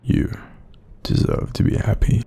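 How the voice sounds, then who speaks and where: An Australian man playing a kind sleep paralysis demon in a roleplay audio, speaking gently and informally with a gravelly, breathy voice to soothe and reassure. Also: a little slow, natural voice. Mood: soothing, reassuring. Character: kind, supportive male sleep paralysis demon watching over someone.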